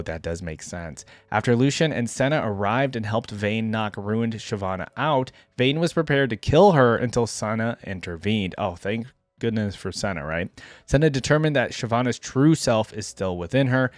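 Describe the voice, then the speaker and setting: A man's voice: medium pitch, dry recording. A man casually reading aloud and reacting as a video host, easygoing and chatting to his viewers.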